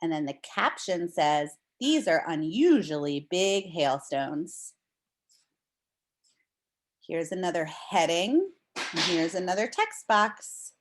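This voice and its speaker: A woman teacher leading a read-aloud lesson for young children, explaining things simply and reading with deliberate expression. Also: on the low side, very animated.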